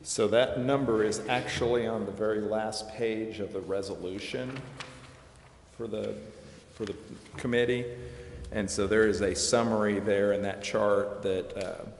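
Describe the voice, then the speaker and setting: A man explaining finance details at a city committee meeting, measured and full of fillers and restarts. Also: lowish voice, fairly even intonation. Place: council chambers. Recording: echoey chamber with long reverb, faint noise.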